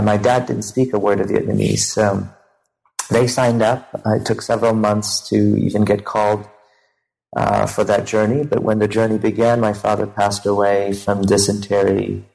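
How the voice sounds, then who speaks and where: Guy from cambodia being interviewed, super gravelly fry, kinda low, stops and starts while telling his story. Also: a little mellow, low-quality recording. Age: 30s. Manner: halting, pausing often mid-sentence. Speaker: interview guest, professor and author. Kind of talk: recounting personal family history in an interview.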